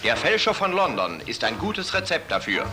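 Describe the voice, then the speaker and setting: An actor playing the portentous, suspense-laden announcer of an old 1960s crime film trailer. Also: male voice, fairly high pitch, very animated, normal speed. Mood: portentous, suspenseful. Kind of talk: old cinema trailer narration. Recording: old film soundtrack with music underneath.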